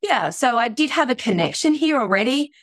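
A woman's voice: sits high, lively intonation, a little fast, no echo, studio quality. A middle-aged woman with an Australian accent, a podcast guest talking in a chatty way, often restarting and saying "you know". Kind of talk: conversational interview.

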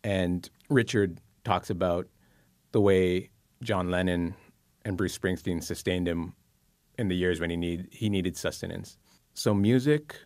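Deep-voiced man musing on books. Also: dry recording, clean studio sound. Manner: thoughtful, musing, with pauses and fillers. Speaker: book columnist and psychotherapist. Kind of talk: reflective interview conversation.

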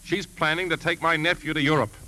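A man's voice: fairly high pitch, steady tone, fast, sharp tone, a little hiss or hum. An actor playing an earnest, determined uncle protecting his nephew, in 1950s radio drama style. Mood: urgent, accusing. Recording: old 1950s radio, music behind.

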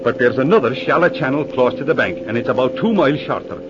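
An actor playing a jolly, talkative Irish Mountie with a folksy, chuckling manner, in 1940s radio acting style. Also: male voice, medium pitch, expressive, quick pace, background noise, close to an everyday voice. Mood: earnest. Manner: chuckling, folksy, rambling storyteller. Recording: muffled old 1940s radio recording.

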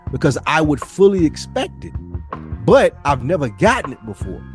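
Man, podcast host, higher-pitched voice, earnest, rambling, slangy casual talk, music behind. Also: huge pitch swings, moderate pace. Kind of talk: casual reflective conversation.

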